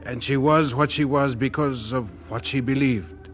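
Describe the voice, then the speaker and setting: Small radio drama role: male actor as Indian pastor, reverent, unhurried, mid pitch, music behind. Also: close to an everyday voice. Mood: solemn.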